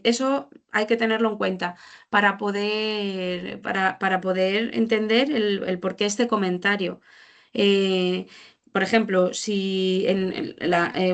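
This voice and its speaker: A woman's voice: medium pitch, gravelly. A woman presenting report findings informally, explaining hesitantly and often rephrasing or repeating her points. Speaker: social policy presenter.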